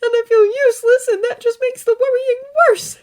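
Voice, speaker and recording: A woman voicing a distraught teenage boy, a hero student, crying and shouting through his anger. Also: extremely high pitch, brisk, voice pushed up. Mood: tearful. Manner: tearful, breaking, shouting outbursts.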